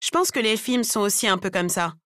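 A woman's voice: medium pitch, brisk, fairly bright tone, no room sound, clean studio sound, close to an everyday voice. A woman voicing a frank, casual, opinionated woman from South Africa, a salon customer in an audiobook.

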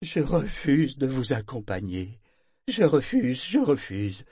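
A man voicing a tormented male ship figurehead who pleads and cries out in a high, strained voice. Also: slow pace, dry recording, studio quality. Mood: desperate. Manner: pleading, shrill, yelling.

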